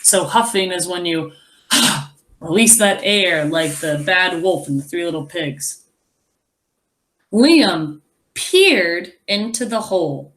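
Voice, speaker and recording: A woman teacher reading a children's story aloud expressively, pausing to explain and wonder aloud. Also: lowish voice, expressive, a bit bright. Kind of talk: animated children's read-aloud with asides.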